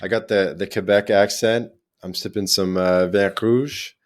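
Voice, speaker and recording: A man's voice: on the low side, fairly even intonation, measured pace. A man hosting a podcast, chatting casually and loosely with a remote guest. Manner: chatty, loose, with fillers and restarts. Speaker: podcast host. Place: in Europe, remote.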